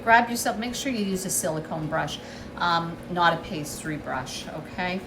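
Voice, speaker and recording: A woman hosting a casual cooking demonstration, chatty and instructive, often checking in with a quick "okay?". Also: on the low side. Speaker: cooking show host. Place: indoor kitchen. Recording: roomy, distant mic, loud sizzling noise. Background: food frying and sizzling.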